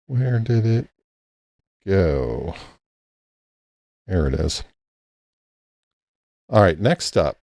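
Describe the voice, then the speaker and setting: A man's voice: deep voice, vocal fry, warm tone, no echo, clean studio sound. A man hosting a casual late-night ham radio live stream, rambling easily and thanking chat members by name.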